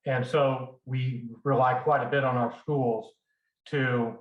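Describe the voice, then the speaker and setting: Man, halting, earnest, flat intonation, video call, muffled. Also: medium pitch, roomy sound. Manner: halting, earnest, many fillers. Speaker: school board candidate. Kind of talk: forum opening statement.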